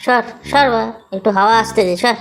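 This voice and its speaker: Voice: mid-range voice, brisk, a bit bright, faint music behind, natural voice, poor audio. An actor voicing a foul-mouthed, lazy man in a comedy cartoon, whining and blustering in exasperation. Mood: irritated, mocking. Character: foul-mouthed lazy man griping about summer heat. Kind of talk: Bengali comedy cartoon voice acting.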